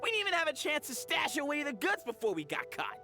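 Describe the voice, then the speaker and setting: A man's voice: very high voice, huge pitch swings, fast talker, gravelly, music in the background, exaggerated voice. An actor voicing a nervous, boastful petty thief in a video game, stammering and pleading as he babbles excuses.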